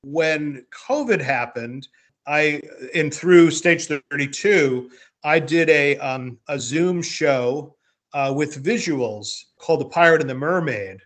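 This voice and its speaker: A man's voice: a little high, slow, a bit bright. A man talking casually as a podcast host, reflective and rambling, often repeating words as he goes. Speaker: podcast host, playwright and producer. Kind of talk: casual conversational chat.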